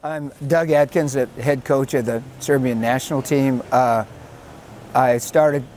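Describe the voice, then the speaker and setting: A man's voice: medium pitch, steady tone, a little slow, loud music behind the voice. An older American man, a football head coach, reminiscing casually in an interview with self-deprecating, anecdotal talk. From Washington state, USA.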